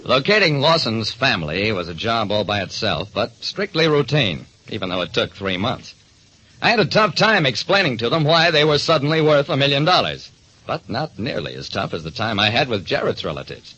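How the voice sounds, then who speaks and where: Animated, husky American detective narrator. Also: male voice, medium pitch, moderate pace. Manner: dramatic, hard-boiled. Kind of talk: old-time radio detective narration. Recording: dull, band-limited recording.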